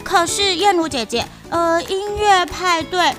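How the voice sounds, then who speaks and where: A woman with a Taiwanese Mandarin accent, co-hosting a children's story show with childlike, unhurried chanting. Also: extremely high pitch, fairly bright tone. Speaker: co-host storyteller. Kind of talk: playful children's show chat. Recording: music playing underneath.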